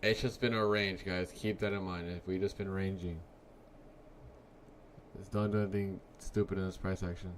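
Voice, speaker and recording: A man in his early twenties hosting a live trading stream, chatting casually with viewers in a laid-back, slangy way and letting his sentences trail off quietly. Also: sits low, flat delivery, moderate pace, slight background noise. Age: 20s. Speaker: livestream trading host. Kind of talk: casual live-stream chat reading comments.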